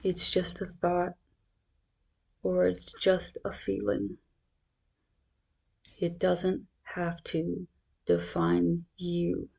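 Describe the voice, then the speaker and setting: A meditation guide speaking in a measured, reassuring, instructional manner. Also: medium pitch, monotone, creaky, husky.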